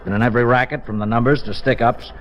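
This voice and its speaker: An actor playing a world-weary homicide lieutenant, blunt and terse, in a 1950s radio drama. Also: male voice, medium pitch, fairly even intonation, fast talker, lightly acted. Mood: resigned. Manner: terse, blunt, clipped sentences. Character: world-weary, matter-of-fact male police homicide lieutenant. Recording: muffled vintage radio recording with music.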